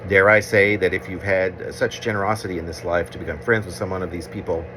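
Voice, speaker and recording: Low-voiced man reading poetry, noisy recording. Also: steady tone, fast talker. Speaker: poet reading own work.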